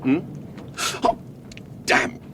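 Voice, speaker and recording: An actor playing a wary, sardonic fugitive in a radio drama, smooth and dryly ironic. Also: male voice, extremely slow. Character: suave, wary male fugitive, sardonic under pressure. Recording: faintly noisy radio drama, vehicle sounds.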